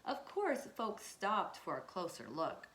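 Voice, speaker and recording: A woman's voice: mid-range voice, huge pitch swings, measured pace, fairly bright tone. A woman teacher reading a children's storybook aloud and checking in with her listeners in an instructive way. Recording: echoey room.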